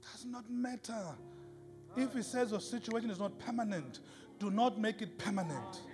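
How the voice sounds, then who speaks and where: Very high-pitched man, impassioned preaching, rhetorical repetition, music behind. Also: moderate pace. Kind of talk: impassioned sermon. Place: large reverberant indoor worship space. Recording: hall reverb with music behind.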